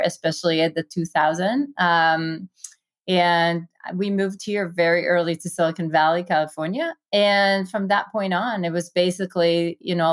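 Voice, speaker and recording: Woman, Israeli accent, lowish voice, enthusiastic, lots of fillers, conversational guest. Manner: fast, enthusiastic, many fillers and "you know". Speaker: guest, tech investor. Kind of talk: casual conversational storytelling.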